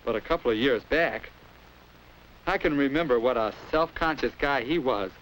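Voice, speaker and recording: A man's voice: medium pitch, moderate pace, fairly bright tone, natural voice, poor audio. A young American man playing a self-conscious schoolboy, narrating earnestly and confidingly in a 1950s educational film, on a hissy old soundtrack. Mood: reflective. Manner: earnest, confiding first-person storytelling.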